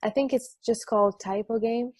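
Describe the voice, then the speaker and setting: A woman's voice: a little high, fairly even intonation, moderate pace, darkish tone, no room sound, studio quality, close to an everyday voice. A woman playing a jaded, sardonic sports podcast host in a casual table read. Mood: casual explaining.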